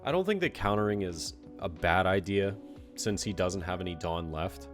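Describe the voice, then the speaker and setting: A young man with a congested voice, casually commenting on a card game match with relaxed, filler-heavy play-by-play and some self-criticism. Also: sits low, moderate pace, faint music behind.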